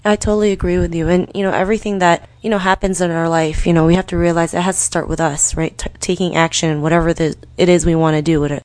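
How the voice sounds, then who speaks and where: An upbeat woman hosts an interview podcast, encouraging her guest and saying "you know" often. Her voice sits a little low, and the sound is dry and close. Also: flat delivery, fast, few pauses, a little mellow, dry recording. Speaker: podcast host.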